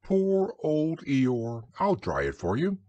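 A man's voice: fairly high pitch, huge pitch swings, slow pace, no room sound, muffled recording, pitched above the performer's own voice, exaggerated voice. A man doing a cheerful kind young boy. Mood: kindly. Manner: bright, encouraging, lifted voice.

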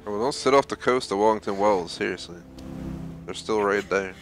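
A man's voice: fairly low pitch, brisk. A man giving casual, offhand, slangy commentary as he plays a video game. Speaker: gamer commentator. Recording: voice over loud game music. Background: video game music and sounds.

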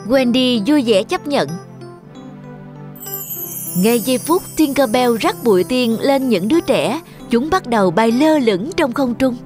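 A woman's voice: on the high side, animated, measured pace, a little mellow. A woman narrating a scripted fairy tale for children as the storyteller. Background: music.

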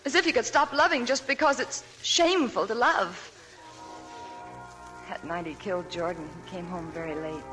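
A woman's voice: mid-range voice, moderate pace, fairly bright tone, lightly acted, low-quality recording. An actress doing a weary young woman with a secret. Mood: confiding. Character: young woman hiding a sad past, weary. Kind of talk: 1940s radio acting. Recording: old radio broadcast with faint music.

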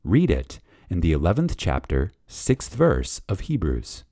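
A man reading a spiritual lecture dramatically, with reverence, emphasis and deliberate pauses. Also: low voice, huge pitch swings, dark tone, dry recording, studio quality. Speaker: reader of a lecture.

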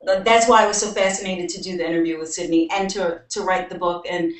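A woman's voice: fairly low pitch, fairly even intonation, fairly quick. A young American woman from New York, an author talking at length as a guest in a video call interview. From New York, United States. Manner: talkative, explains at length. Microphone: webcam on a video call. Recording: roomy webcam audio from a distance.

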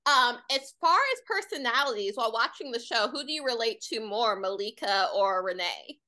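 A young woman from Detroit asks friendly, curious questions over a video call. Her voice is crisp and bright, pitched a little high. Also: expressive, normal speed. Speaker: interviewer. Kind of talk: casual interview questions.

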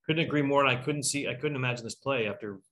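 A man's voice: mid-range voice, quick pace, a bit bright. A middle-aged man hosting a casual discussion on a video call, talking emphatically and musing in questions. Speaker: host.

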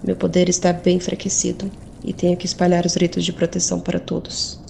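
A woman's voice: on the low side, monotone, brisk, creaky, warm tone, a little hiss or hum. A woman with a Brazilian accent voicing a guarded, blunt mystic whose power is fading, in an audio drama. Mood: cold. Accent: Brazilian Portuguese. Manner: blunt and probing.

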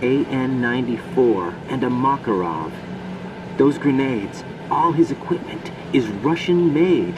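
A man's voice: mid-range voice, animated, unhurried, highs cut off, lightly acted. An actor playing a young covert operative in a video game, earnest, inquisitive and slightly cocky as he reports in. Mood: serious, intrigued. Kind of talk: video game voice acting, radio conversation. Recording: distant-sounding voice with clear background noise.

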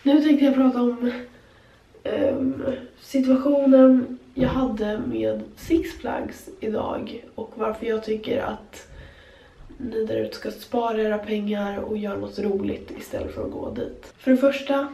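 A woman's voice: a little high, reverberant, slight background noise. A young woman vlogging into a handheld camera, complaining with many fillers. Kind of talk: casual travel vlog, then sit-down rant to camera. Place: outdoor theme park and parking lot, then a tiled bathroom. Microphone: handheld camera at arm's length.

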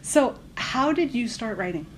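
A woman's voice: a little high, expressive, measured pace. A woman interviewer in a casual conversation, listening and responding briefly. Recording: background noise, room ambience.

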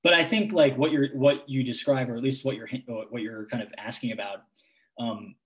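A man's voice: mid-range voice, fairly even intonation, moderate pace, fairly bright tone. Young man, hedging academic talk. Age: young adult. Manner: hesitant, full of "like" and "kind of". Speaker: guest lecturer. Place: home room with guitars on the wall. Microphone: laptop webcam mic on video call.

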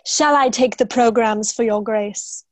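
A young woman playing a prim, patient, dutiful secretary with formal, measured delivery in a play reading. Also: a little high, no echo, lightly acted. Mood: businesslike. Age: young adult. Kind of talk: staged play reading over video call. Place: room at home, video call. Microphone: wired earphone microphone.

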